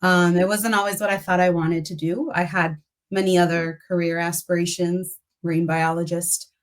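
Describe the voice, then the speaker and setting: A woman's voice: fairly low pitch, flat delivery, normal speed. A woman in her forties whose first language is Spanish, a speech-language pathologist telling her story candidly with frequent "um" and "you know". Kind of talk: conversational interview.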